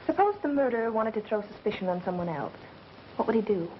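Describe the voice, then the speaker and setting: An actress playing a quick-witted, wry woman under suspicion in a 1930s mystery film. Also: mid-range voice, animated, slight background noise, natural voice. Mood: wry, challenging. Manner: wry, sarcastic retorts. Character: quick-witted, sarcastic woman suspected of murder. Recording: muffled 1930s film soundtrack with music.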